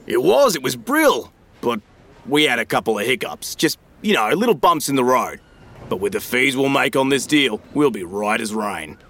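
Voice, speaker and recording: An actor playing a slippery, matey Australian financial dealer in an audio drama, full of slangy, glib sales patter. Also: male voice, mid-range voice, big pitch swings, moderate pace, a bit bright. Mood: breezy, reassuring.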